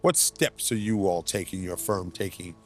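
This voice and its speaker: A man's voice: low-pitched, normal speed, faint music behind. A man interviewing a guest for a news outlet, asking conversational questions and nudging along with short acknowledgements, recorded at a law office.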